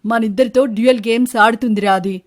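A woman's voice: on the high side, steady tone, fast, pitched below the performer's own voice, natural voice. A woman voicing a brash, jealous young man in a cartoon moral story, with pointed, insistent questions. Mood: bitter, mocking.

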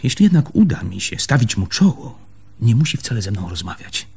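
A man's voice: medium pitch, very animated, brisk, vocal fry, darkish tone, breathy edge, full character voice. An actor playing a stubborn, earnest land surveyor in a radio play, hesitating and repeating words as he presses his point. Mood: stubborn, determined. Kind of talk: Polish radio play acting.

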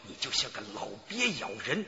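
A man's voice: mid-range voice, huge pitch swings, moderate pace, crowd noise. An actor playing a sly, wheedling company manager and loyal henchman in a theatrical radio drama. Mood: cajoling. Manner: theatrical, cajoling and menacing by turns. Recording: dull recording with long reverb.

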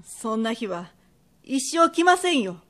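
A guarded, worldly merchant's wife of old Japan, played by a woman in a radio drama. Her voice is high, and she answers curtly and skeptically. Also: very animated, unhurried, a bit bright. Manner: curt, skeptical.

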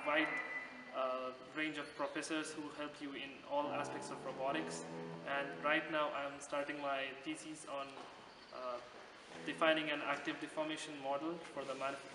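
A young man from Kerala, India, a master's student giving a testimonial, pausing often with fillers. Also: a little high. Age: 20s. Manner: halting, many fillers. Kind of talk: testimonial interview. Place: outdoors by a harbor. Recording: roomy echo, distant mic, background noise.

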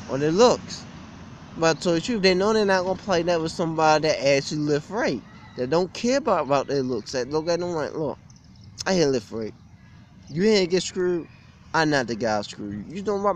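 Young man ranting, animated and high-pitched. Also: slight background noise. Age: young adult. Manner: blunt, repetitive, aggrieved. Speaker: gym vlogger. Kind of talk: informal opinionated rant to camera. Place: outdoors beside a brick building on a street. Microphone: phone or webcam at arm's length.

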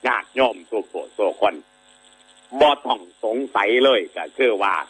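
Isan-accented monk telling a fable, rambly and chatty, keeps going "right?", bright husky voice. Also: male voice, mid-range voice, low-quality recording. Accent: Isan Thai. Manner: rambling and conversational, many filler sounds, keeps asking listeners to agree. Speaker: Buddhist monk giving a dharma talk. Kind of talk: folksy sermon telling a moral fable.

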